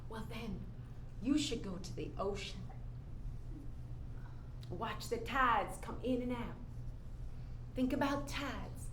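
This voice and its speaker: A woman's voice: a little high, takes it slowly, pauses often. A woman playing a jaded, outspoken daughter with a blunt, scoffing, emphatic manner in a staged play reading. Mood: gentle, reflective. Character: jaded, outspoken woman chasing money owed to her grieving father. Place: indoor room at a staged reading. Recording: echoey room, noticeable background noise.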